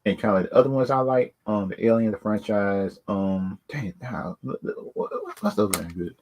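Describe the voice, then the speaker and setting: A man's voice: on the low side, moderate pace, a little mellow, slight background noise. A man giving casual, unscripted opinions as a video host, rambling and often repeating words and stock phrases. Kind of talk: casual unscripted opinion talk on films and games.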